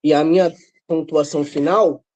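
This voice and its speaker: Male participant with a cold, dark and mellow voice, casual and earnest. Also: fairly high pitch, steady tone, normal speed. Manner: casual, earnest, ends phrases with "sabe?". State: congested from a cold, voice breaking. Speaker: participant asking questions. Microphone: video call.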